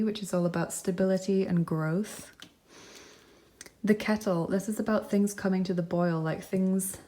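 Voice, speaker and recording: A woman with a lowish, mellow voice talks through a tarot and charm reading. She speaks gently and reassuringly, musing aloud with frequent ums and likes. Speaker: tarot reader. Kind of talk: pick-a-card tarot reading.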